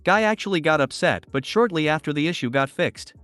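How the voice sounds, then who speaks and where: A man with a slightly high voice reads online stories aloud in an even, steady way. The sound is dry and close, with faint music playing underneath. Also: brisk, no room sound. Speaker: narrator. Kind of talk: reading online posts aloud.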